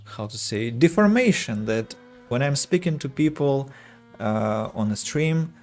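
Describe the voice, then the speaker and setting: A young adult man from Russia with a Russian accent, streaming a strategy game and chatting with viewers, choosing his words slowly and carefully with frequent restarts. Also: medium pitch, lively intonation, darkish tone, faint music behind. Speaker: streamer and English teacher. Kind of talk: casual gameplay streaming chat.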